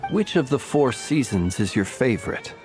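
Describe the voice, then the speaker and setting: A man's voice: mid-range voice, very animated, normal speed, over music, close to an everyday voice. A man voicing a courteous, whimsical talking lantern in a video game, speaking in a formal, gracious, theatrical way. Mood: gently inquiring.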